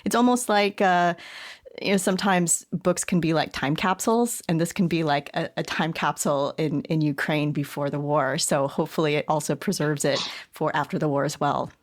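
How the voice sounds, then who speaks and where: A woman's voice: low voice, steady tone, normal speed. A woman co-hosting a podcast who interviews her guest in an affirming, chatty way and laughs easily. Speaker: podcast co-host. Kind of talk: conversational interviewing.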